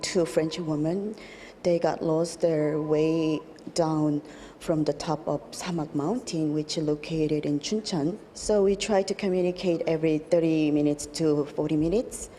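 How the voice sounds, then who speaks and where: A woman who works as a police interpreter, answering an interview in plain, unhurried speech. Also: low voice, steady tone, mellow. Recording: faint background noise.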